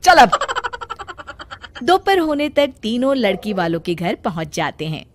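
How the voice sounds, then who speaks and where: A woman's voice: mid-range voice, very animated, normal speed. A woman reading scripted narration as the storyteller of a cartoon family tale.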